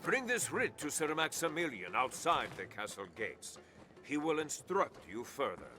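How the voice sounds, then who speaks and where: An actor playing a duke's messenger in a fantasy game, formal and official with archaic wording. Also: male voice, a little high, big pitch swings, unhurried, full character voice. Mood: ceremonious. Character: formal male messenger of a duke. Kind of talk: scripted fantasy game dialogue. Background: loud music.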